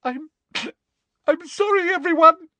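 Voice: extremely high pitch, unhurried, bright, forceful tone, no room sound, voice pushed up, full character voice. A reader voicing a desperate, pleading teenage schoolgirl in a storybook, with a gulping, tearful delivery. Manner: shrieking, gulping, sobbing.

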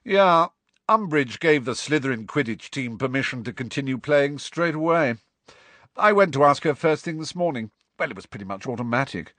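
A malicious schoolboy bully from a storybook , as acted by a male reader. He pitches his voice up and swaggers through every line, loud and boastful. The delivery swings widely and drips with sneering self-importance. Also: expressive, normal speed, dry recording. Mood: smug boasting.

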